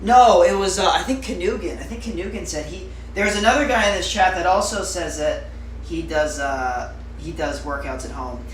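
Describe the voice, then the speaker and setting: A young man with a slightly higher voice chats loosely with his live-stream audience, trailing off into fillers. He sits back from the mic in a roomy, echoing space with a faint hiss underneath. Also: moderate pace, far from the mic. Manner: chatty, rambling with fillers, answering viewers. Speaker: livestreamer. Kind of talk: casual live-stream chat.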